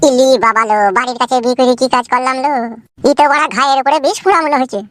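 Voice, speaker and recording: A woman's voice: high voice, rapid-fire, fairly bright tone, no room sound, voice pushed down. A woman voicing a grumpy, bossy young husband in a comedy cartoon, talking down in a scolding way. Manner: scolding, condescending.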